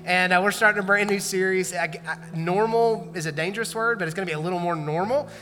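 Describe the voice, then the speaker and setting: A man leading a church service as pastor, talking loosely and conversationally, full of likes and restarts. His voice sits fairly high and has a bright, forward edge. It rings through a large hall with a long reverb, and music plays softly underneath. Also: fast talker. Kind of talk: church announcements and introduction.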